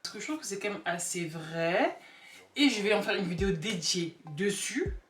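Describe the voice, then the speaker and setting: A woman chatting casually and comically to camera, emphatic and animated, repeating words for effect. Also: mid-range voice, moderate pace. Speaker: vlogger. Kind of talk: casual comedic opinion chat to camera. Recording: echoey room, distant mic, background music.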